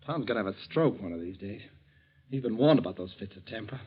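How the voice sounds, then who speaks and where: A man's voice: on the low side, expressive, a little hiss or hum, lightly acted. Anxious, swooping young radio-drama son played by a man. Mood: uneasy, subdued. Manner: anxious, halting, evasive. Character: desperate, weak-willed embezzling grown son. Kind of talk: 1940s radio acting. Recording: muffled 1940s radio recording.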